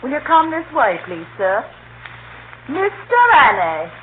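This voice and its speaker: An actress playing a polite, deferential English housemaid, speaking formally in a 1930s radio drama. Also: female voice, on the high side, big pitch swings, a little slow. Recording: scratchy, narrow 1930s radio broadcast.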